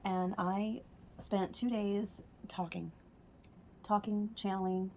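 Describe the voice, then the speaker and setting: A woman with a husky, hoarse voice doing a spiritual tarot reading as a casual monologue, speaking softly and sometimes close to a whisper. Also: medium pitch, steady tone, takes it slowly, slight background noise. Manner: soft-spoken, drifts into whispers at times. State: hoarse, losing her voice. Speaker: tarot reader.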